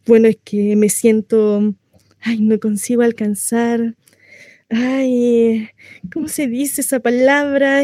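A woman's voice: a little high, dark tone, dry recording, studio quality, close to an everyday voice. A woman acting a chatty, naive, mistreated housewife in a comic stage monologue, confessional, rambling and often interrupting herself. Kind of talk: theatrical comic monologue addressed to a listener.

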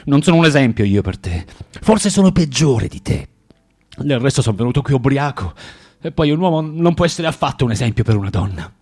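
An actor playing a bitter, self-loathing drinker who moralizes haltingly and insistently in a radio drama. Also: male voice, mid-range voice, sing-song, darkish tone, breathy edge. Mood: pleading, moralizing. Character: bitter, preachy, self-loathing male drinker lecturing a young prostitute.

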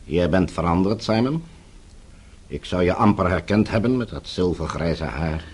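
An actor playing a calmly menacing middle-aged official in a radio play, measured, polite and condescending. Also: male voice, low-pitched, husky. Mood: cool, polite. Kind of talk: Dutch radio play acting.

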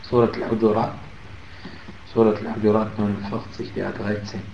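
A man giving a religious lesson in German, emphatic on key points and pausing with fillers. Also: fairly low pitch, little pitch movement, creaky, a little hiss or hum. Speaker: Islamic teacher. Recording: roomy, muffled, faint ambience.

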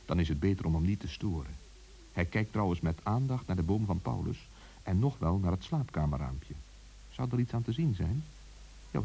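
A man's voice: deep voice, creaky, warm tone, slight background noise, low-quality recording. A man narrating a children's story intimately, speaking directly to listeners and characters.

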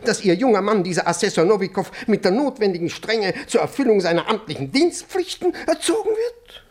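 An older department chief in a radio comedy, pompous and easily alarmed. His pitch swoops widely as he halts, repeats himself and fusses over proper form. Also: exaggerated voice. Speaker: actor in a radio play. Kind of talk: German radio comedy acting.

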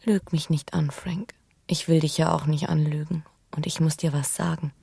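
An actress playing an embittered, menacing lover in a German radio drama, with a breathy, husky half-whisper and hushed intensity. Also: female voice, sits low, fairly even intonation, normal speed, dry recording, studio quality, exaggerated voice. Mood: accusing, resigned. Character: embittered, menacing female lover, wounded and cold.

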